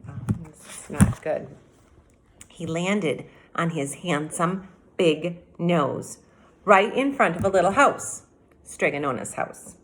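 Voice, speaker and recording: A woman's voice: fairly low pitch, animated, slow pace, poor audio. A woman in her fifties, a teacher reading a storybook aloud to children, dramatic, with explanatory asides. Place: small room at home, by a window.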